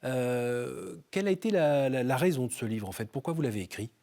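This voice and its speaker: A man hosting a spiritual talk show in a television studio, introducing his guest and asking questions with frequent pauses. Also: mid-range voice, animated, dark tone. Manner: halting, many filler pauses.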